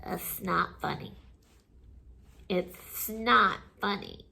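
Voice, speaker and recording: A woman's voice: on the low side, drawn-out words, gravelly, sharp tone. A woman in her forties with a stuffy nose from a cold, hosting a laughter session from her living room, laughing through her words. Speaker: laughter yoga host. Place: sunny living room at home.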